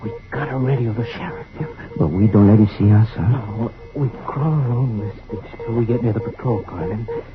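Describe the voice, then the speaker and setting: A man playing a jittery, frightened lookout in an old-time radio drama, with excitable, urgent delivery. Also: on the low side, brisk, hardly any pauses, over music, lightly acted. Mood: wary, hushed urgency. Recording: dull old radio recording.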